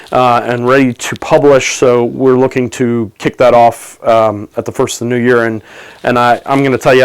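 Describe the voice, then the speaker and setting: A man talking to camera from his office about technology for business, pausing often with ums and restarts, his voice a little gravelly from a cold. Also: medium pitch. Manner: halting, many ums and restarts. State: has a cold, slight gravel. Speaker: tech commentator. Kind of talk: casual video talk to camera. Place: office under renovation.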